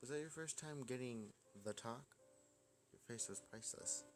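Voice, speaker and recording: A man's voice: medium pitch, moderate pace, close to an everyday voice. A man voicing a carefree, adventurous young woman in a visual novel, talking in a breezy, teasing way. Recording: music behind the voice.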